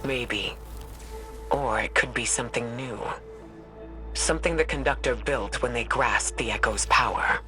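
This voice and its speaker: A man playing a thoughtful, serious commander in a sci-fi video game, speaking in a measured way. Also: medium pitch, lightly acted. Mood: pondering. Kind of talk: video game character acting. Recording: game music behind the voice.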